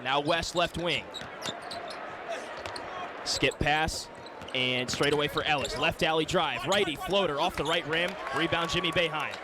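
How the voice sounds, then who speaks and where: A man with a somewhat high-pitched voice gives live basketball commentary for radio. He describes the action in short, clipped phrases as the play-by-play announcer. Loud arena ambience and bouncing balls sit behind his voice. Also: unhurried, long pauses, heavy background noise. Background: gym ambience, bouncing basketballs.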